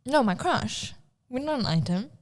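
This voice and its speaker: A woman co-hosting a podcast, chatting casually in an animated, opinionated way. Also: on the high side, normal speed. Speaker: podcast co-host. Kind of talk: casual group banter.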